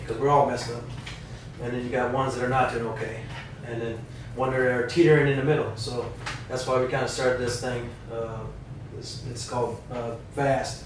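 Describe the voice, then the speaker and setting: A man with a Wisconsin accent, an Army veteran, giving a plainspoken, self-deprecating and reflective introduction as a guest on a podcast. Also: medium pitch. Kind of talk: casual personal introduction. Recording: echoey room, mic at a distance, noticeable background noise.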